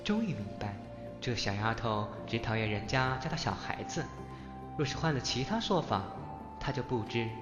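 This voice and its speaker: A man's voice: mid-range voice, sing-song, moderate pace, dull audio, lightly acted. A man playing an earnest, good-natured young man in a Chinese ancient fantasy radio drama. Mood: wry. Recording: roomy home recording under music. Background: background music.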